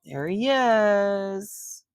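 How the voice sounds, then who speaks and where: An older woman hosting story time for children, reading a picture book aloud and chatting gently to young listeners in between. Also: medium pitch, animated, extremely slow, no room sound, studio quality. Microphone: close microphone.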